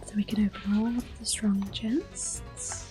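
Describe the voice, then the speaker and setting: A woman giving gentle, unhurried ASMR game commentary in a breathy, whispery voice. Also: medium pitch, fairly even intonation. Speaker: gameplay host. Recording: music behind the voice. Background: soft game music.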